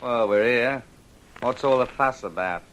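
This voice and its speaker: An actor playing a truculent Australian housebreaker and ringleader in an old radio crime drama, curt, slangy and bullying. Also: male voice, mid-range voice, a little slow, background noise, lightly acted. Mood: sullen, defiant. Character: cocky, truculent male housebreaker, ringleader with a gun.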